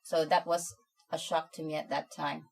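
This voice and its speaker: A woman from the Philippines, speaking as an interview guest, hesitant and filling pauses with ums. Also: low voice, level tone, creaky, a little mellow.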